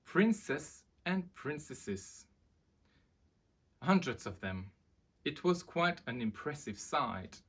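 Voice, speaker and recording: Slow, animated male storyteller. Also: a little high, slightly rough. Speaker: storyteller presenting a children's book. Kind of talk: expressive storybook reading for children.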